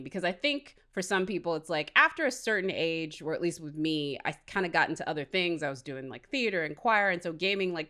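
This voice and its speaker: A woman with a low-pitched voice co-hosts a podcast. She talks in an informal, conversational way, as in a casual discussion of films. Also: a bit bright. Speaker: podcast co-host.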